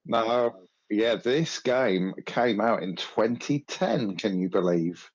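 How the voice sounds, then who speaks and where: A man's voice: fairly low pitch, slow pace, lo-fi sound. A man co-hosting a gaming podcast, talking casually and hesitantly, often restarting his sentences. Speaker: podcast co-host.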